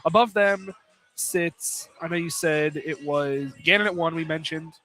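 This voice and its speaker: A young American man with a high voice for a male. He commentates on college sport live and casually. His delivery is informal, with phrases often restarted and corrected as he goes. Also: measured pace, poor audio. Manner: informal, repeats and corrects himself. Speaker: play-by-play radio commentator. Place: live courtside broadcast in a college field house.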